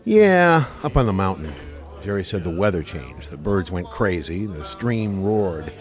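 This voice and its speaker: Man playing wisecracking, wildly animated hunting buddy. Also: low voice, unhurried, faint music behind. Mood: jovial, teasing. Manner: teasing, sociable. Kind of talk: radio play acting.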